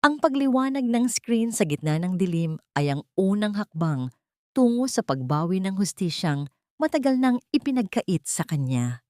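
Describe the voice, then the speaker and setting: A Filipino woman narrating a scripted drama as a storyteller, with a measured, dramatic delivery. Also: medium pitch, very animated, dark tone, dry recording, studio quality.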